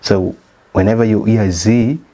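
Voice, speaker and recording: A man's voice: fairly low pitch, steady tone, measured pace, warm tone, slight background noise. A man from Uganda with a Ugandan English accent, a statistician hosting his channel and walking viewers through a slideshow lesson step by step. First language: not English. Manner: methodical, explains step by step.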